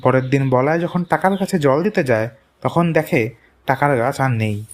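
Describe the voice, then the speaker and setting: A man's voice: mid-range voice, moderate pace. A man narrating a scripted moral tale for a cartoon. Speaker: story narrator. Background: music.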